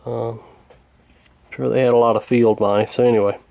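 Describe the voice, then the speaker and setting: A man's voice: mid-range voice, little pitch movement, normal speed, gravelly, dark tone. Folksy man, hesitant delivery. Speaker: radio repair hobbyist, presenter. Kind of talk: casual hands-on repair commentary.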